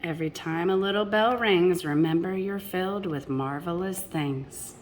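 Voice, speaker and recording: A woman's voice: lowish voice, moderate pace, a little hiss or hum. A woman reading a picture book aloud to children expressively, addressing young listeners directly with chatty asides. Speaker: storybook reader.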